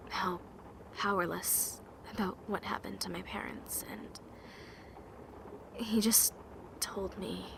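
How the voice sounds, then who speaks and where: A stoic, protective young woman played by a woman in a fan audio drama. Her voice is on the low side and very unhurried, soft and measured. The amateur recording has clear noise behind it. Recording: clearly noisy amateur voice track.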